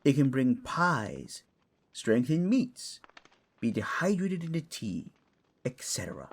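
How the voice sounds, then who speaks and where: A man's voice: mid-range voice, sing-song, slow pace, full character voice. A man playing a roguish, scholarly furred creature in a fantasy audio drama, grumbling and explaining theatrically. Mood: earnest storytelling. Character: furred, clawed male creature, a roguish scholarly artifact-tinkering steward.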